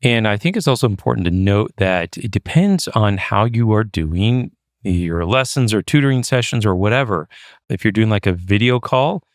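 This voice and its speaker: A chatty man with a low voice co-hosts a podcast, talking loosely and dropping in fillers as he goes. His voice sounds close and dry, with no room echo. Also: animated, moderate pace. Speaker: podcast co-host. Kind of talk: casual podcast chat.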